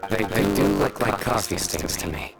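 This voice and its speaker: An actor playing a stern, composed investigator in a video game, speaking in a measured, authoritative way. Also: male voice, low voice, gravelly, loud music behind the voice, rough recording. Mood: calm, deductive. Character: stern, composed male investigator, calm and accusing.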